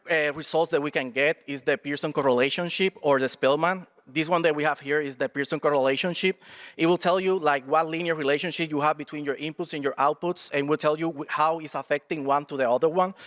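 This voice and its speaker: A man presenting a research project at a student competition, his voice a bit higher than most men's. He talks haltingly, with plenty of "like" and "uh" and many restarts. The sound carries a long echo from a large hall. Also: fairly even intonation, a bit bright. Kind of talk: technical pitch and answering questions.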